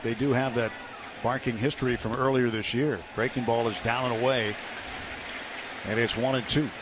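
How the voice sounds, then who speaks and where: A man's voice: medium pitch, steady tone, moderate pace. An American man doing live baseball play-by-play on radio in clipped descriptive phrases. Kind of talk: live sports commentary. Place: outdoor ballpark broadcast booth. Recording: noisy live ballpark radio broadcast.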